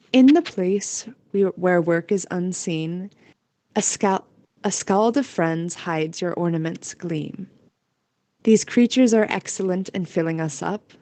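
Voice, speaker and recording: A woman's voice: fairly low pitch, a little mellow. A woman narrating a scripted fantasy audio story in a storytelling voice.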